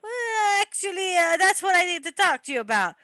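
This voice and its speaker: A chatty, deferential mob henchman played by a woman in a radio comedy play. The voice is high and bright with very lively pitch, rambling and placating, in a dry-sounding recording. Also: a little fast, dry recording, full character voice. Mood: hesitant. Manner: rambling, hesitant, placating wiseguy patter. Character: talkative, deferential male mobster sidekick. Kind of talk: amateur old-time radio comedy play.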